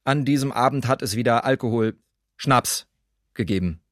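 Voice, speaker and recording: Male perpetrator played by an actor, documentary radio drama. Medium pitch, factual, self-pitying, recorded close and dry. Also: moderate pace, fairly bright tone, no echo, lightly acted. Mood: sober, matter-of-fact. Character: German perpetrator of a wartime shooting, self-pitying, matter-of-fact.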